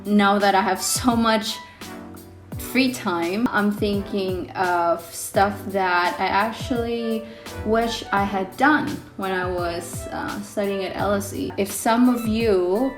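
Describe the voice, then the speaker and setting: A young woman vlogger whose first language is Indonesian, talking casually to her viewers with many pauses and ums. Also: mid-range voice. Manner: hesitant, many ums, reflective. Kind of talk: casual vlog talk to camera. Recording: echoey room with faint music.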